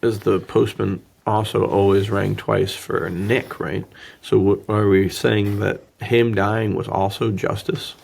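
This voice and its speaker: A man with a lowish, husky voice full of heavy creak, chatting casually about films as a podcast co-host. He talks in a joking, bantering way. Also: level tone, unhurried, a little mellow. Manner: joking, laughs easily. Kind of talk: casual film discussion banter.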